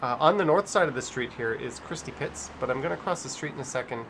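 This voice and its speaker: A man hosts a live stream in an easygoing, conversational way, moving between commentary and reading viewers' messages aloud. His voice sits at an average pitch, with some faint ambient noise behind it. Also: expressive, fast talker. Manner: chatty, informal, reads out viewer messages. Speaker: livestream host.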